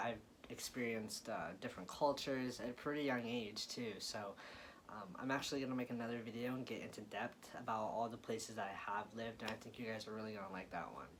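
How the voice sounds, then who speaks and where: A man's voice: medium pitch, fairly bright tone, slight background noise. A young adult American man chatting casually and informally to viewers as a vlogger, often repeating things for emphasis. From Florida, United States.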